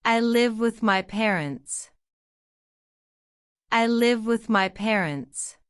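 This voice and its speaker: Slow, clear woman reading practice questions. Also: a little high, no echo, studio quality. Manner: reads each line twice, slow and deliberate. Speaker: citizenship test practice narrator. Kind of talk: slow repeated question-and-answer drill.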